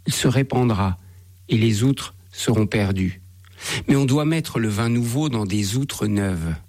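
A man reading the Gospel as a priest, in a measured, solemn manner. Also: lowish voice, darkish tone.

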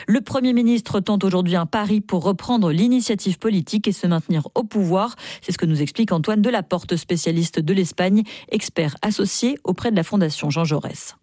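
Mellow-voiced woman reading radio news. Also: medium pitch, dry recording. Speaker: news presenter. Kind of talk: scripted radio news bulletin.

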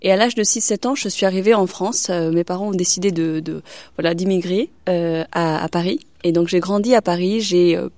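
A woman's voice: fairly low pitch, fairly quick, darkish tone, dry recording. A young woman from China, a religious sister, speaking hesitantly in a radio interview with many fillers and restarts.

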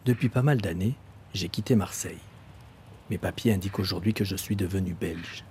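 A man giving measured, reflective first-person narration for a documentary. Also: deep voice, darkish tone, a little hiss or hum.

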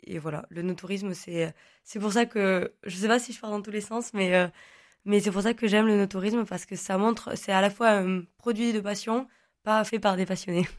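A woman's voice: medium pitch, steady tone, moderate pace. A young woman in her twenties from the Languedoc, whose first language is Occitan, answering interview questions in a chatty, rambling way with many fillers and restarts. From Languedoc, southern France. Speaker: guest, online content creator.

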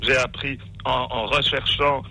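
A man phones in to a radio show, his bright, forceful voice stumbling through restarts as he rambles along. His comes through the broadcast phone-in sound. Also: mid-range voice, flat delivery, creaky, poor audio. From Belgium. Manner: rambling, halting, many restarts. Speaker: caller. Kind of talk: phone-in call. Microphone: phone line.

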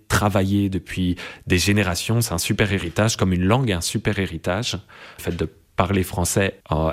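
A young man in a reflective conversation, speaking thoughtfully and searching for words, with frequent pauses and restarts. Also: low-pitched. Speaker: interviewee.

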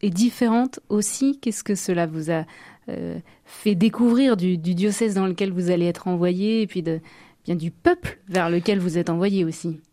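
A woman hosting a radio interview, asking questions in a gentle, measured way. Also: fairly low pitch, dry recording. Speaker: radio host.

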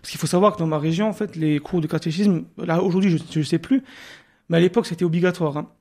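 Fast-talking, hesitant man in his thirties. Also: fairly high pitch, a little mellow. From Moselle, France. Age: 30s. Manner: hesitant, many fillers and restarts. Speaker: radio guest. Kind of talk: radio interview, telling his life story.

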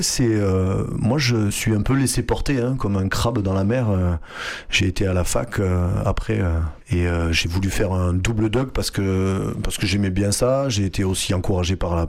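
A young man, raised speaking Flemish at home, talks through an interview in a low voice. He stops often with fillers and restarts his sentences, searching for words as he goes. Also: a little mellow. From France (Hérault, Occitanie). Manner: hesitant, many fillers and restarts. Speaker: artistic director, guest. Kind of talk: radio interview.

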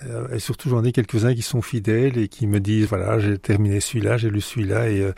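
A man's voice: fairly low pitch, flat delivery, fast talker, vocal fry, warm tone, slightly rough, no echo. A man from Belgium, an author answering radio interview questions, hesitant with many fillers and restarts.

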